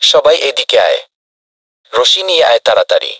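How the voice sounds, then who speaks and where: A man's voice: mid-range voice, big pitch swings, moderate pace, bright, forceful tone, slightly rough, dry recording, exaggerated voice. A man playing a commanding, indignant villager in a comedy cartoon, rallying the others. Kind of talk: cartoon voice acting.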